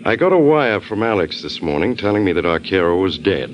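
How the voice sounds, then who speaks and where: A sardonic American gangster from an old radio thriller. His voice is very deep yet lively in pitch, with mock courtesy and self-satisfaction. The recording is dull and aged. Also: a little fast. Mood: smug, sardonic. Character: smug male gangster, back from supposed death. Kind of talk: 1940s-50s American radio crime drama acting.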